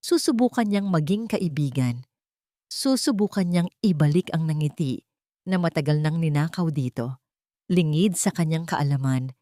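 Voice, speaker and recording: A woman with a Filipino accent narrating a romance drama in an emotive, expressive storytelling manner. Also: sits low, no echo, clean studio sound.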